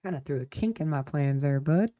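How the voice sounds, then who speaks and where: A woman's voice: deep voice, lively intonation, a little fast, warm tone. A woman streamer chatting casually while playing a game, laid-back and chatty. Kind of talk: casual gameplay commentary.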